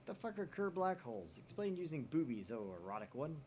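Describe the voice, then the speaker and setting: A man's voice: on the high side, very animated, a little fast, warm tone, a little hiss or hum. A man hosting a let's play, reading a visual novel's chat posts aloud and swearing casually.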